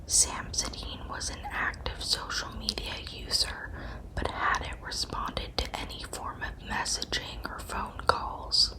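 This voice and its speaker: A woman narrating scripted true crime as ASMR in a breathy, unvoiced whisper, with measured, deliberate storytelling. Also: poor audio.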